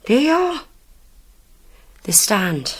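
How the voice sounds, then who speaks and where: An actress playing Donna, a young working-class woman, haltingly recalling a night she witnessed. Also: medium pitch, big pitch swings, husky, heavily acted. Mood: dreamy recall. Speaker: witness under recall questioning. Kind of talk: radio drama acting.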